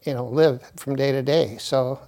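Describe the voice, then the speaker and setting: Brief: man, flat monotone delivery, hesitant volunteer tutor. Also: mid-range voice, moderate pace. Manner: hesitant, many fillers and restarts. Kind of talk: interview answers.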